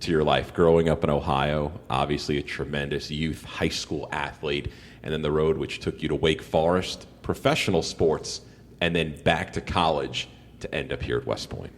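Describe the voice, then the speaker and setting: A man's voice: a bass voice, fairly even intonation, moderate pace, in a large hall. A man who works as a sports broadcaster, hosting an interview in a polished radio style.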